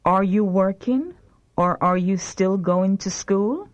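Slow, clear woman reading lesson prompts. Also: mid-range voice, dull audio. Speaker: language lesson reader. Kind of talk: slow repeat-after-me language drill.

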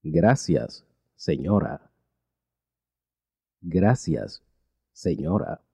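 A man teaching a language lesson, reading each phrase slowly and repeating it twice. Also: low voice, sing-song, vocal fry, mellow, slightly rough, no room sound. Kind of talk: slow repeated translation drills.

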